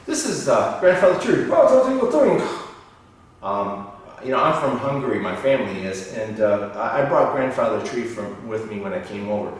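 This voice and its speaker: Midwestern guy lecturing, animated, lively pitch, echoey room far from mic. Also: moderate pace, slight background noise. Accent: Midwestern American. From Ohio, United States. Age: middle-aged. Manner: animated, gesturing, explaining in plain terms. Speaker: university lecturer. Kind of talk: teaching video. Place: indoor office room. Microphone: far camera.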